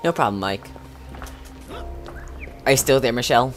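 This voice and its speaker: A man's voice: mid-range voice, lively intonation, faint music behind. Young man rambling over a game stream. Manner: rambling, halting, many restarts and fillers. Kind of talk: casual livestream commentary.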